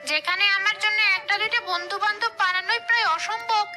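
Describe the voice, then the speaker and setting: A woman's voice: very high-pitched, fairly even intonation, fast, loud music behind the voice. A woman voicing a bitter, jealous, menacing female ghost in a cartoon, complaining and threatening. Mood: envious scheming. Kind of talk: cartoon voice acting.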